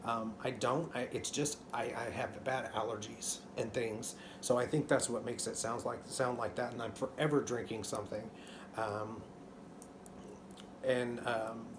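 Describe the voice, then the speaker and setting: Hoarse-sounding Texan man rambling. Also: mid-range voice, noisy background. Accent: Texan, mostly masked. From Texas. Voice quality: slightly hoarse. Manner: rambling, many ums and restarts, knitting while talking. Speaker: knitting channel host. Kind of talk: casual chat to camera. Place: living room couch at home.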